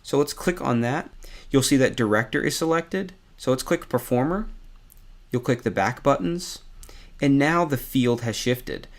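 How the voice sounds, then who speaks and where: A man narrating a step-by-step software tutorial as an instructor, in a conversational, explanatory way. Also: mid-range voice, expressive, measured pace.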